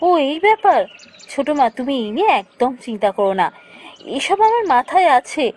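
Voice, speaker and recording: A woman's voice: high voice, very animated, a little fast, a little mellow. A woman playing a brave, dutiful young cartoon princess who speaks in an earnest, reassuring way. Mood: reassuring, resolute.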